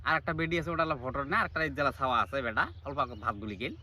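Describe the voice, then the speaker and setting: A man's voice: mid-range voice, very fast, pushed and bright. A man playing a crafty older farmer in a village comedy skit, grumbling and arguing in a folksy rural North Bengal accent. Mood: easygoing. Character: crafty, hard-working older village farmer, touchy about bribes.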